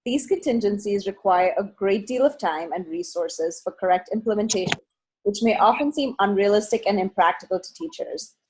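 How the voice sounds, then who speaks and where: A woman from Toronto, a senior therapist, reading a prepared presentation quietly with small slips. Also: mid-range voice, slightly rough, low-quality recording. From Toronto, Canada.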